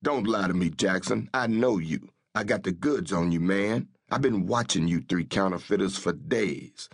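A man's voice: low-pitched, normal speed, vocal fry. A man voicing a stern, tough federal marshal who gives threatening orders. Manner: commanding, threatening.

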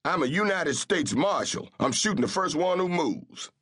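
A man's voice: fairly high pitch, big pitch swings, normal speed, fairly bright tone, husky, pitched above the performer's own voice, full character voice. A man voicing a stern, tough federal marshal who gives threatening orders. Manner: commanding, threatening.

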